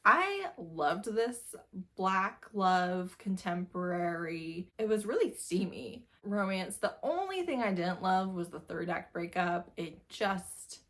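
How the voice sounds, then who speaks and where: A woman's voice: lowish voice, very animated, slow pace, a bit bright. A woman casually reviewing books as a video host, chatty and informal, leaning on repeated phrases for emphasis. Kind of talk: casual book review chat to camera.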